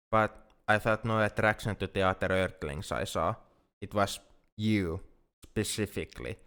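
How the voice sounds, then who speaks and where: Deep-voiced, anxious alien man, acted confessing earnestly. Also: a bit bright, smooth. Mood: tender, confessing. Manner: halting, earnest, formal wording. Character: anxious, self-doubting, lovesick male alien friend. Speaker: ASMR roleplay voice actor. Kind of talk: ASMR roleplay, intimate dramatic monologue.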